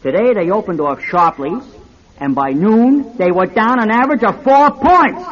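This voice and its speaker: An actor playing a brash, showy, smooth-talking nightclub owner in a radio drama. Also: male voice, high-pitched, lively intonation, moderate pace. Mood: showy, hyping. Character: brash, hustling nightclub owner and showman, adult man. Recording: dull radio recording with crowd noise.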